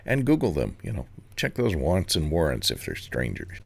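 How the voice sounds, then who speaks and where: A man's voice: very deep voice, huge pitch swings, normal speed, gravelly, breathy edge, dry recording. A man on a panel giving casual, practical advice.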